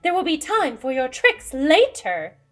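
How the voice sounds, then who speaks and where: A spooky, grumpy ghost from a storybook, acted by a woman. It exclaims indignantly in a high voice that leaps up and down. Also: huge pitch swings, measured pace, heavily acted. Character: spooky, grumpy ghost shooing visitors away. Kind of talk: children's storybook character reading.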